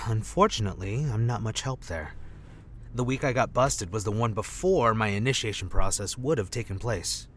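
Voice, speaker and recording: A man acting as a dry-witted undercover government agent in an audio drama, measured and a little sardonic. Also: lowish voice, expressive, fairly bright tone. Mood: dry, guarded. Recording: faint background ambience noise.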